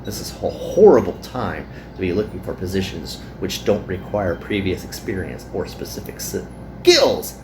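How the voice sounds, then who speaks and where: A man's voice: deep voice, big pitch swings, unhurried, background noise, exaggerated voice. A man voicing a weary, self-deprecating young male worker in a visual novel. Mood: earnest, embarrassed.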